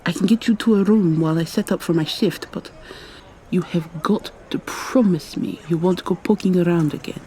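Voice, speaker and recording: A young vampire student , acted by a woman, speaks softly in a dark, mellow tone. She is articulate and questioning, in intimate ASMR roleplay style. The recording carries noticeable noise. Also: fairly low pitch, normal speed, close to an everyday voice, low-quality recording. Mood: concerned. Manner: soft-spoken, articulate, questioning.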